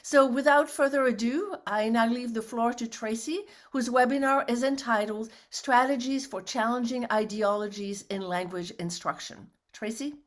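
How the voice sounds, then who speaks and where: A woman hosting an academic webinar over a video call, reading announcements and introducing the speaker in a measured way. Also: a little high. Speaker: webinar host. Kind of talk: formal introduction.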